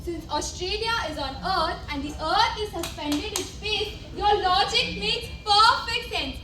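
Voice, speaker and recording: A woman's voice: very high voice, a little slow. A young woman playing a gushing, conniving geography examiner in an amateur stage comedy, declaiming with exaggerated praise. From India. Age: young adult. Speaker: student amateur stage actor. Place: indoor college event stage, distant mic. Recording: echoey, noisy hall from far off.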